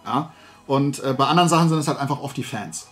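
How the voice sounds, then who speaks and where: A middle-aged man hosting a casual, opinionated talk show, speaking colloquially in an animated ranting manner. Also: medium pitch, fast, soft background music. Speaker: host. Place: small room at home, indoors, at a desk.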